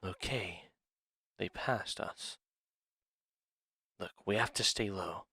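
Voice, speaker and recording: A man playing a sly, scheming dragon in an ASMR roleplay, speaking in a hushed, deliberate, confiding manner. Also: sits low, noisy background. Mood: urgent, hushed. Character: sly, scheming male dragon plotting a museum heist. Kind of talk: ASMR roleplay audio drama, one side of a dialogue.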